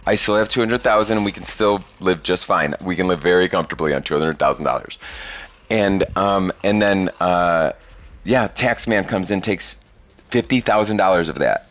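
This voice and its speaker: A man's voice: low voice, normal speed, fairly bright tone. A man in his thirties talking bluntly and profanely in a rambling casual podcast chat. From Chicago area. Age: 30s. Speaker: podcast host.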